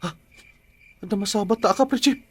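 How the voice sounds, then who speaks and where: Man acting a high-voiced, timid village watchman. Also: brisk. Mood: nervous. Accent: Ilocano. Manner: nervous, deferential. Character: timid, superstitious junior village watchman, easily spooked. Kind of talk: Ilocano comedy radio drama acting.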